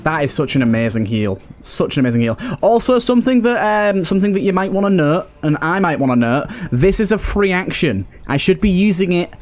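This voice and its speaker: A man's voice: high-pitched, lively intonation, brisk, few pauses, darkish tone. A British man giving casual let's play commentary, thinking aloud and addressing the characters on screen as he goes.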